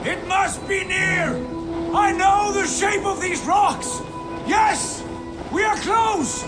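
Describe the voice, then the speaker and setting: An actor playing a zealous young Norse jarl who chases visions, speaking in a fervent, declamatory way. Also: male voice, very high-pitched, takes it slowly, over music. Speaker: Norse warrior lord. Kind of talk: video game cutscene acting. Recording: game audio under loud score.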